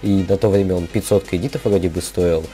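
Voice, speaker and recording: A young man speaks in a low, mellow voice that sounds slightly sick. He rambles through casual game commentary, thinking aloud in halting gamer slang, with music playing behind him. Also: fairly even intonation. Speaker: gaming video creator. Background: background music.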